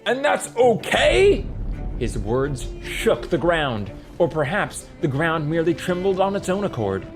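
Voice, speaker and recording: A narrator reads a fantasy audiobook with drama. The delivery is lively, with wide swings in pitch. Music sits clearly behind the voice throughout. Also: moderate pace.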